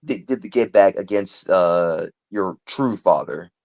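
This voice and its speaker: A man's voice: deep voice, a little slow, a little mellow. A man chatting casually on a podcast. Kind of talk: casual chat.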